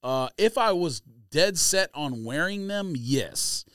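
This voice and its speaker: A man's voice: fairly high pitch, animated, slow pace, a bit bright, clear, resonant tone, no room sound, studio quality. A man co-hosting a sneaker podcast, chatting casually in slang and pressing his points insistently. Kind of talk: casual chat and debate.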